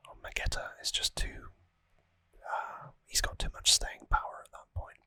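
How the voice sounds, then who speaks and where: An ASMR host whispering an informal recap close to the microphone, in short phrases with hesitant pauses. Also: pushed and bright, no echo.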